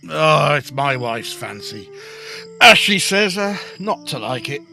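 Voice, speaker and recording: Jittery period husband played by a male actor, fussy and stammering, animated bright voice with gravelly edges. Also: fairly high pitch, takes it slowly, faint music behind, heavily acted. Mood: uneasy explaining. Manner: stammering, hesitant, fussy, defensive. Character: nervous, evasive husband, anxious to flee haunted house. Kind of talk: period ghost story audio drama acting.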